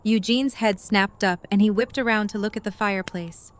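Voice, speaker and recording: A woman's voice: medium pitch, normal speed. A woman reading scripted third-person narration as the narrator of a story.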